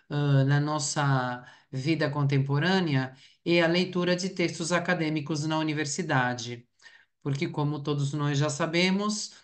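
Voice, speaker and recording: A man speaks as an academic researcher at a conference. His delivery is formal and explanatory. He hesitates often as he sets out each point. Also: fairly high pitch. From Uruguay.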